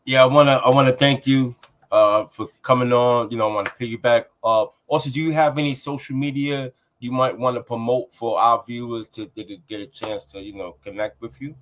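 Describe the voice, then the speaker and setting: A man co-hosting a casual interview speaks in an easygoing way with loose phrasing. His pitch sits in a middle range. A faint noise runs behind his voice. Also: steady tone, brisk, fairly bright tone. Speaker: co-host.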